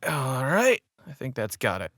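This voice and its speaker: A man's voice: medium pitch, wildly swooping pitch, unhurried, a bit bright, dry recording, studio quality, heavily acted. A man playing a roguish pony petty crook in an audio drama, cocky and wry but loyal, with a streetwise way of talking.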